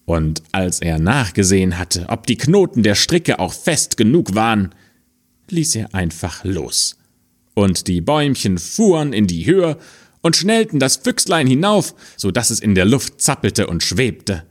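A man reading a fairy tale aloud in a slow, expressive storytelling manner. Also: on the low side.

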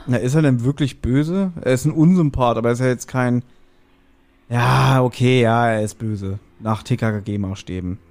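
A man hosting a podcast, casually retelling a story in an animated way and acting out short quotes. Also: medium pitch, normal speed. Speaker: podcast host. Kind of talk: casual chatty episode recap.

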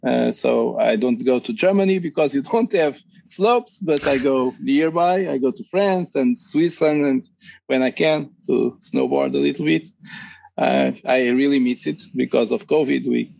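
A man's voice: mid-range voice, moderate pace, creaky, darkish tone, no echo, highs cut off. A man with a dry throat, a board game designer chatting casually as a podcast guest, hesitant and often restarting his sentences.

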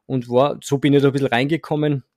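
A man talks as a guest on a relaxed podcast. His voice is mid-pitched and recorded close, with no room sound. He speaks haltingly, stopping to restart his sentences, and drops in self-mocking jokes. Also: no room sound. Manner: hesitant, many fillers and restarts, self-deprecating humor. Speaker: podcast guest, amateur cyclist. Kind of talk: casual podcast chat.

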